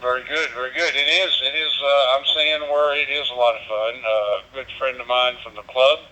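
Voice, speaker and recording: A man chatting casually as a ham radio operator over a digital radio link, with halting, folksy phrasing. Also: mid-range voice, steady tone, pushed and bright. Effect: digital radio transmission. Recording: dull, lo-fi digital radio audio.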